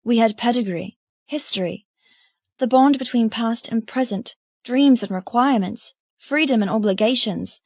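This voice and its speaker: A woman's voice: on the high side, moderate pace, darkish tone, no echo, lo-fi sound. A woman reading first-person dramatic fiction as an audiobook narrator.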